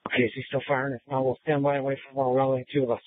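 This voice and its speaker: A man's voice: medium pitch, level tone, racing, slight background noise. Terse policeman over tinny radio. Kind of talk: terse emergency radio calls. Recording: tinny narrow-band scanner radio.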